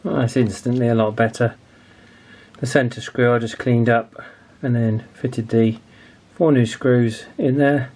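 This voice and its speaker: A man's voice: mid-range voice, steady tone, unhurried, darkish tone. A man in his forties narrating a hands-on repair casually, hesitant and thinking aloud as he goes. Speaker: video host, radio restoration hobbyist.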